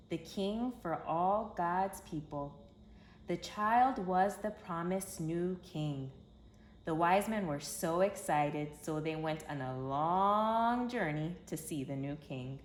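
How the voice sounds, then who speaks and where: A woman reading a children's story aloud in an expressive, emphatic storytelling manner. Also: on the low side, takes it slowly. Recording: roomy echo with background hiss.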